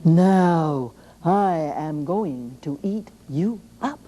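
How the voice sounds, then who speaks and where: A woman putting on a gruff hungry troll. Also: low-pitched, lively intonation, very slow, warm tone, exaggerated voice. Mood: menacing. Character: gruff hungry male troll under a bridge.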